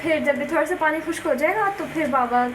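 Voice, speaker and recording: High-voiced young woman explaining cooking. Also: fast talker. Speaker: vlogger's daughter. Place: home kitchen. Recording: noisy home video.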